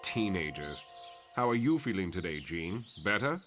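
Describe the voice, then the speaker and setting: A man playing a calm, fatherly older telepathic mentor in a motion comic, speaking in a measured, paternal way. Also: low voice, faint music behind. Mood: fatherly, soothing.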